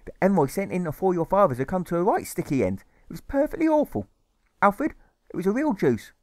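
Man putting on a high-pitched fretful old mother. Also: big pitch swings, brisk, no room sound. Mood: dramatic, reminiscing. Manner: theatrical, repeats phrases insistently. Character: fretful, doting, nagging working-class old English mother. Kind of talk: audiobook character voice.

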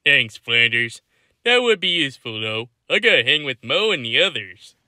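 Man as easygoing cartoon father, beer lover. Very forceful, sharp tone, lively pitch, offhand delivery. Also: moderate pace, no echo. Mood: casual. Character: laid-back, beer-loving middle-aged cartoon dad. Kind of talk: fan-made cartoon voice acting.

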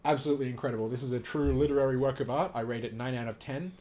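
A man's voice: mid-range voice, very fast, darkish tone, low-quality recording. A man casually reading aloud and reacting as host, amused, sweary and mock-serious.

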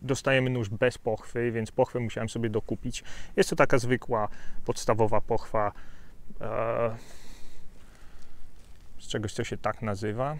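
A man in his thirties from Poland, reviewing a bushcraft knife in a relaxed, chatty way with fillers and asides, recorded outdoors on a hillside with a lapel mic. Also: mid-range voice, measured pace, long pauses. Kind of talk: casual outdoor gear review.

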